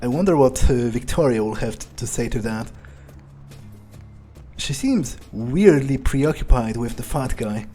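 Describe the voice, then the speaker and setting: A man's voice: medium pitch, animated, unhurried, warm tone, faint music behind, lightly acted. A man voicing a cocky, cruel, foul-mouthed vampire as in-character commentary on a video game, drawling and sardonic as he muses aloud. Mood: musing, contemptuous. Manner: drawling, sneering, musing.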